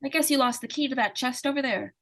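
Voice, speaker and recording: A woman's voice: high-pitched, fast talker. A woman acting an inquisitive, innocent-seeming young girl in 1940s radio suspense style, with a hesitant, artless, questioning manner. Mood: curious, probing.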